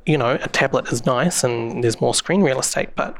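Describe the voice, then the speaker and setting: A man's voice: medium pitch, moderate pace, a bit bright. A man with a New Zealand accent, answering interview questions in an explanatory way, with many fillers and restarts. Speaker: interview guest, public safety software expert.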